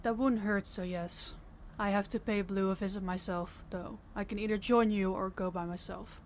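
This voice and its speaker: A woman's voice: medium pitch, fairly even intonation, normal speed, slight background noise, close to an everyday voice. A woman playing a stern, fed-up crime boss in an audio roleplay, commanding and blunt. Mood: frustrated, businesslike. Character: stern, fed-up female crime boss scolding her subordinate.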